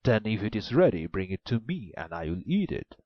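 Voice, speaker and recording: A huge, gruff ogre booms out his words, slow and suspicious, as a man puts him on for a storybook reading. The voice sits low and a little husky. The recording is dull and dry. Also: big pitch swings, dry recording. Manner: loud, booming, suspicious.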